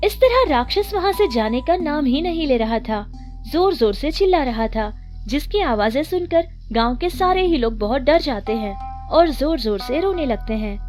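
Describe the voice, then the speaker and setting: A woman narrating a children's cartoon story in a steady storytelling manner. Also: high-pitched, normal speed, soft background music.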